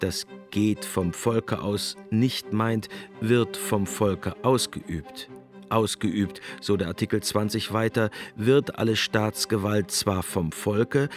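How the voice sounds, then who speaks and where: A man narrating scripted documentary commentary in an explanatory, pointed manner. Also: fairly low pitch.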